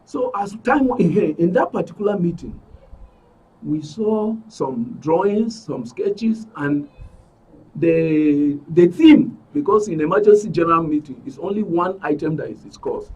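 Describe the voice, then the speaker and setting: Husky Nigerian man, higher-pitched, emphatic. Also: lively intonation, a little slow, darkish tone, recorded from a distance, poor audio. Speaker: interview guest, club member. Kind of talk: spoken account in a broadcast interview.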